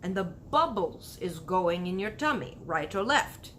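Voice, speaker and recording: A woman voicing a big male storybook giant, excitable, proud and indignant, with muddled grammar and emphatic drawn-out exclamations. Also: fairly low pitch, a little hiss or hum. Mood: lecturing.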